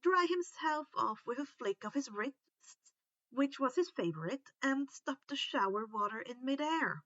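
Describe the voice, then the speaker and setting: Female story narrator, high voice, expressive delivery with drawn-out emphasis, slightly muffled lo-fi sound. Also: no echo. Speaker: audiobook-style reader of fan fiction.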